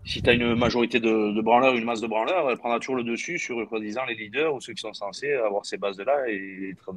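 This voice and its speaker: A man's voice: medium pitch, steady tone, quick pace, a little hiss or hum, poor audio. A middle-aged man from southwest France talking on a video call, hesitant, with many fillers and repeated words. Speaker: guest, former footballer. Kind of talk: casual video-call discussion. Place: room at home. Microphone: video call webcam.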